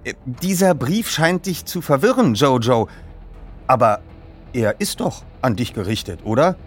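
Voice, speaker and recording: A man's voice: mid-range voice, big pitch swings, measured pace, soft background music, pitched above the performer's own voice. A man voicing a polite, self-assured teenage boy detective in a storybook, speaking in a formal, articulate and solicitous way.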